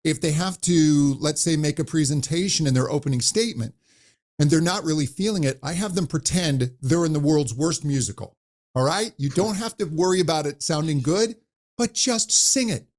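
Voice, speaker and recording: A man who coaches voices, talking animatedly in an interview and demonstrating high and low pitch as he explains. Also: moderate pace, a little mellow. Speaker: voice coach guest. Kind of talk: conversational interview.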